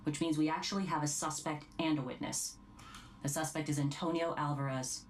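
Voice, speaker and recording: A woman's voice: sits low, fairly even intonation, fairly quick, slight background noise, lightly acted. A woman playing an authoritative, formal American task force chief in a video game briefing. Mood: brisk, formal. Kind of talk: video game cutscene acting.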